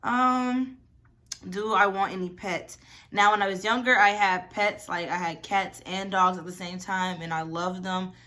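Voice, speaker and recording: A woman's voice: medium pitch, fairly even intonation, a little slow, sharp tone. A woman of twenty-one, chatty and rambling, answering questions in a casual vlog. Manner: chatty, rambling, lots of "y'all" and "like". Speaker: vlogger.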